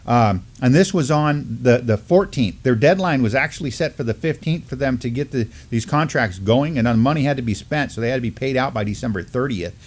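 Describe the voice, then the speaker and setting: A man's voice: mid-range voice, darkish tone. A middle-aged man co-hosting a studio news program, reading articles aloud with many fillers and restarts. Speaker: co-host. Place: studio with mottled backdrop.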